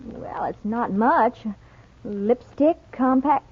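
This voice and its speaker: On a thin old radio recording, an inquisitive wife who fancies herself a detective. The woman's voice is fairly high and slightly breathy-rough. She sounds eager and fretful, in 1940s radio drama style. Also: slow, muffled recording. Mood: curious. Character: inquisitive, anxious amateur sleuth wife, bright and proper.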